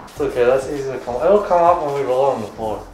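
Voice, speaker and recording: A man's voice: mid-range voice, animated, quick pace, darkish tone, slight background noise. A young adult man bantering casually while doing a home DIY job. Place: home garage gym, indoors. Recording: echoey garage, music over speech.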